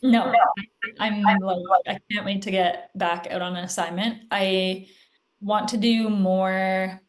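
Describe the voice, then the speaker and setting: A woman physician answers questions in a relaxed, conversational way. Her voice sits at a medium pitch and is broken up by frequent "like" and "um". She is heard through a video call. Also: slow. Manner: chatty, filler-heavy, frequent "like" and "um". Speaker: guest physician. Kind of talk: casual interview answers.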